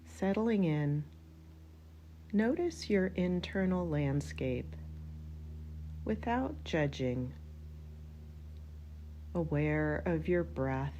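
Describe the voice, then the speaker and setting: A woman leading a guided yoga nidra relaxation, speaking in gentle, spaced-out phrases as she gives unhurried instructions. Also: deep voice, lively intonation, highs cut off, a little hiss or hum.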